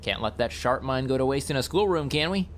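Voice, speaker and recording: A man's voice: medium pitch, animated, a little fast, a little hiss or hum, lightly acted. A man voicing a grumpy, sardonic, footloose guardian in an audiobook, with a dry, teasing delivery. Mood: irritated, dry.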